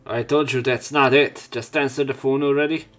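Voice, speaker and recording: A young man narrating a visual novel let's play, reading the story plainly and getting louder when voicing the hero. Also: medium pitch, fairly even intonation, moderate pace. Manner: reads narration plainly, jumps up louder for the hero's lines.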